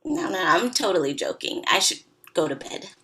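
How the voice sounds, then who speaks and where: A woman's voice: fairly low pitch, very animated, moderate pace, bright, forceful tone, breathy edge, full character voice. A woman playing a wry, joking wedding friend in a naturalistic comedy table read.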